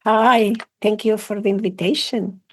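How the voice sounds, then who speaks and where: A woman's voice: mid-range voice, fairly even intonation, measured pace. A woman in her sixties from Iraq, raised in Venezuela, whose first language was Assyrian Aramaic, speaking reflectively as a guest with frequent restarts. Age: 60s. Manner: reflective, repeats and restarts phrases. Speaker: guest, psychotherapist and breathwork trainer. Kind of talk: casual interview conversation.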